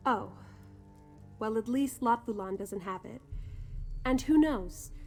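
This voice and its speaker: A young woman fighter in a video game. Her voice sits fairly high, with an earnest, eager and conversational delivery. Faint music plays underneath. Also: takes it slowly. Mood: impressed, hopeful. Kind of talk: scripted video game character dialogue.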